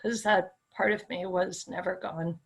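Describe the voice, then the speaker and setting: A woman with a gravelly, husky voice repeats phrases back one by one. She is the client in a tapping session and echoes each line after it is given to her. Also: lowish voice, fairly even intonation, measured pace. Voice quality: crackly, raspy voice.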